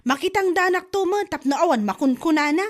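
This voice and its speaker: Woman, Ilocano accent, high-pitched, fed-up wife venting in a comedy drama. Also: expressive, brisk. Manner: exasperated, complaining, rising exclamations.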